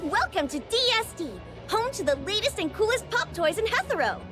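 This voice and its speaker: Squeaky, cutesy game character. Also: female voice, very animated, brisk. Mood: perky. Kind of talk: anime game voice acting. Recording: music behind the voice.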